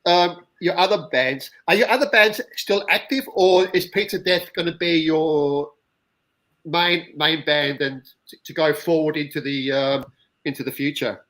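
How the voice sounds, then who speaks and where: Man, from the UK, interviewer, slightly high voice, halting, stammering delivery. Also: fairly bright tone, rough recording. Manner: halting, stammering, many restarts. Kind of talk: casual interview.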